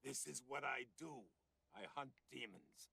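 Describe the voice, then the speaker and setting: A man voicing a sardonic, lecherous demon-hunting ventriloquist dummy in a gravelly TV drama performance. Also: medium pitch, measured pace, heavy background noise. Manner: sardonic, crude.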